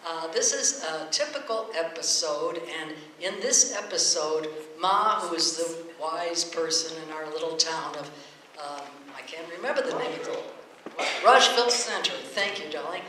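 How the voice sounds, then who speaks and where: A man's voice: a little high, normal speed, bright, forceful tone. A man hosting a stage show, introducing a radio play with chatty, informal, somewhat hesitant anecdotes. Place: stage before a live audience. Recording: very echoey hall, faint noise.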